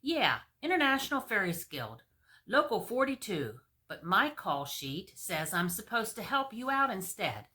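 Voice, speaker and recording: A woman's voice: mid-range voice, big pitch swings, measured pace, bright, forceful tone. A woman voicing a blunt, scolding male fairy from a storybook, a wisecracking union worker with a thick comic accent. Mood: brusque, businesslike.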